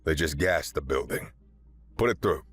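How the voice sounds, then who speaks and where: A man doing a jaded starship captain with a deep, dry delivery. He stays flat and sardonic throughout, with soft music behind him. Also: normal speed, husky, full character voice. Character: cynical, world-weary male ship captain.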